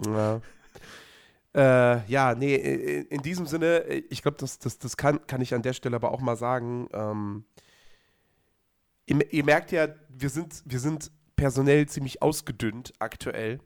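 A man co-hosting a podcast, hoarse from a cold, chatting casually with lots of fillers and restarts. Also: medium pitch, a little slow. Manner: rambling, many fillers and restarts. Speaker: podcast co-host. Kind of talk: casual chat.

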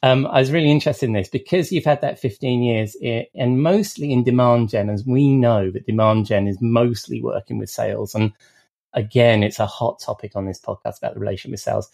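A man's voice: mid-range voice, fairly quick, gravelly. A middle-aged man hosting an interview podcast, asking questions conversationally and affirming his guest often. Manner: warm-up questions, affirming "yeah", frequent restarts. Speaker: podcast host.

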